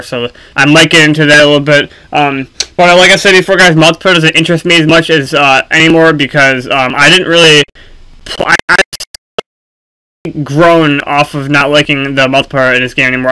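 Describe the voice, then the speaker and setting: A man giving casual, unscripted gaming commentary for a video, speaking haltingly with frequent ums and pauses. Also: fairly high pitch, pushed and bright, clear, resonant tone, low-quality recording.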